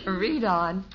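An actress playing a calm, knowing middle-aged American wife and mother in a 1950s radio sitcom. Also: high-pitched, heavy background noise, heavily acted. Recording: muffled old radio recording.

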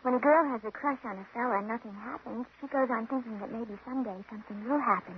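Wistful, smitten young woman played by an actress for 1950s radio drama; higher voice, hesitant delivery, noisy vintage recording. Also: fast, highs cut off, natural voice.

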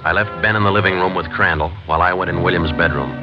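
Deadpan low-voiced police sergeant, played by a man. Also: fast talker, lightly acted. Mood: flat, matter-of-fact. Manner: deadpan, clipped, matter-of-fact. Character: terse, businesslike police sergeant detective narrating his case. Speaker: lead actor and narrator. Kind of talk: 1940s radio police drama, clipped first-person narration. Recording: muffled vintage 1940s radio broadcast, loud music.

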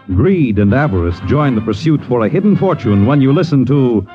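A man's voice: medium pitch, sing-song, natural voice. A man narrating and announcing in a dramatic, portentous 1950s radio style. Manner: portentous, measured. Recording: dull vintage radio recording, music.

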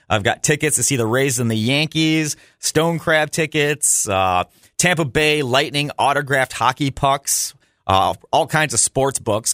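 A man's voice: mid-range voice, a bit bright, dry recording. Dry-sounding American man hosting sports radio. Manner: chatty, informal, opinionated. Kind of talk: casual sports talk radio commentary. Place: radio studio.

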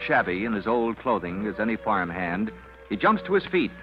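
A man's voice: fairly low pitch, big pitch swings, moderate pace, soft background music. A man giving dramatic, scripted narration in the style of a 1940s radio serial. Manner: dramatic, storytelling. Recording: muffled old radio recording, faint noise.

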